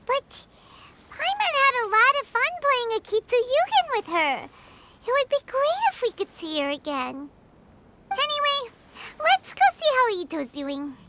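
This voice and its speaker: A tiny childlike fairy companion speaks in an extremely squeaky, chirpy game voice. The delivery is naive and very animated, with loud noise behind it. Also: female voice, unhurried, full character voice. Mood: wistful, chirpy. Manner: speaks of self in third person. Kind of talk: video game voice acting.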